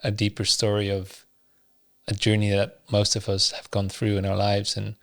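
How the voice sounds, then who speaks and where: A man's voice: on the low side, completely flat delivery, normal speed, creaky, fairly bright tone. A man hosting a podcast, asking his guest questions in a hesitant conversational way with frequent restarts. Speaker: podcast host.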